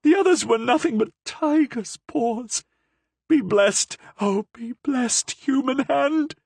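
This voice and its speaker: A man voicing a half-mad, starving woman prisoner who cries out in desperate, shrill pleas. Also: lively intonation, unhurried, slightly rough, no echo, full character voice. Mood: frantic pleading.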